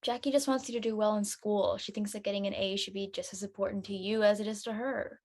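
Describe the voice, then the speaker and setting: A woman's voice: mid-range voice, fairly even intonation, fast talker, a little mellow, natural voice. A woman playing a responsible, peacemaking teenage schoolgirl in a drama read. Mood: mediating. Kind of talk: audio drama table read acting.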